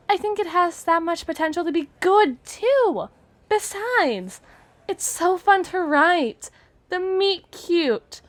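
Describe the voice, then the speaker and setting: A woman playing a gushing, obsessively lovestruck teenage girl writer in an ASMR roleplay monologue, flustered and rambling. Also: high voice. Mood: shy, chatty, then gushing, excited.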